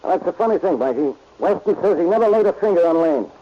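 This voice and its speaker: Grumpy blustering cop boss, husky and a bit high, muffled old radio. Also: male voice, expressive, fast talker. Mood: grudging, calmer. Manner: blustery, curt. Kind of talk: 1940s radio acting. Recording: muffled, dull vintage radio recording.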